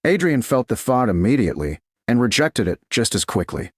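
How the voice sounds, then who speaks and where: A man's voice: medium pitch, sing-song, a little fast, no room sound. A man reading dramatic scripted romance narration as an audiobook narrator.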